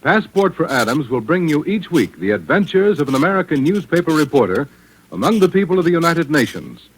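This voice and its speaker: A man's voice: on the high side, very animated, a little fast. A man reading formal scripted announcements as a 1940s radio network announcer. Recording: faintly noisy old radio, clicks.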